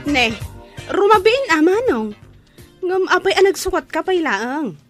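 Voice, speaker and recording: A woman's voice: very high voice, sing-song, measured pace, over music, exaggerated voice. A woman playing a worried, nosy younger sister in a radio drama, full of incredulous questions.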